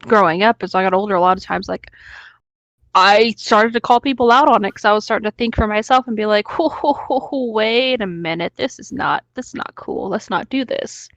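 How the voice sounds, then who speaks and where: A woman's voice: medium pitch, brisk, no room sound. A woman chatting casually and emphatically, giving her opinions in an informal way and swearing freely.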